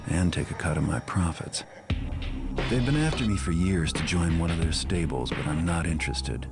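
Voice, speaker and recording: An actor playing a cynical, self-reliant master thief who narrates a video game cutscene in a dry, sardonic, understated manner. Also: male voice, animated, normal speed, over loud music. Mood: wry, guarded. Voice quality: low, gravelly. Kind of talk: scripted first-person game cutscene narration.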